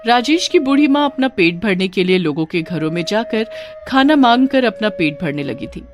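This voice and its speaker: A woman's voice: mid-range voice, sing-song, moderate pace, faint music behind. A woman giving a scripted narration of a moral story.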